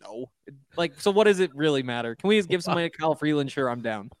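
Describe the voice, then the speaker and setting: A man with a fairly high, smooth voice who rambles wryly about his bets and makes fun of himself along the way. He talks over a video call. The sound is dry and close, with no room echo. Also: expressive, fast talker. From Montana. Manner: wry, rambling, self-deprecating. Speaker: podcast co-host. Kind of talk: casual sports betting chat.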